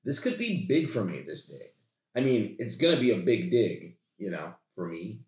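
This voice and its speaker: A man voicing an excitable, earnest young assistant on an archaeological dig in a comedy sketch table read. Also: lowish voice, moderate pace. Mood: eager. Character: eager, chatty young assistant on his first archaeological dig. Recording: roomy, echoing podcast recording.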